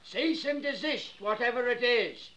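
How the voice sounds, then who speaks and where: An actor playing a pompous, officious village constable in a 1950s radio drama. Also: male voice, very high-pitched, lively intonation, unhurried, bright, forceful tone, full character voice.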